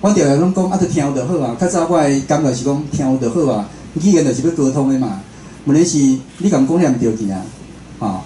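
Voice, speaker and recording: A man with a Taiwanese accent gives an informal talk in a roomy, echoing space with faint noise behind him. His voice is slightly mellow and mid-pitched, and he explains things conversationally, often checking that listeners follow. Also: animated, fairly quick. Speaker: radio host and station director giving a talk. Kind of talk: informal public lecture. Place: indoor room at a public talk.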